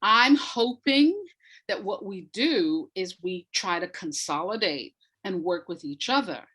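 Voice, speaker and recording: A woman speaking as an interview guest, reflective and emphatic, deliberately repeating words to stress her points. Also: medium pitch, expressive, a bit bright, slightly rough. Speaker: interview guest, audio drama writer.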